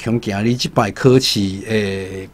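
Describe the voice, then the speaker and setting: An actor in a radio drama playing a seasoned fortune teller, solemn and fatalistic, making deliberate pronouncements. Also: male voice, lowish voice, fairly even intonation, lightly acted. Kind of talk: Taiwanese radio drama acting.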